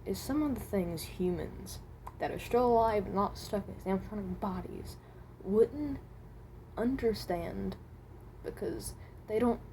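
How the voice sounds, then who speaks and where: A woman's voice: medium pitch, warm tone, a little hiss or hum, close to an everyday voice, poor audio. A woman playing a guarded, awkward animatronic possessed by someone who died at seventeen, in a hesitant, rambling amateur monologue. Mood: earnest, hesitant. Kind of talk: amateur character voice acting, improvised monologue.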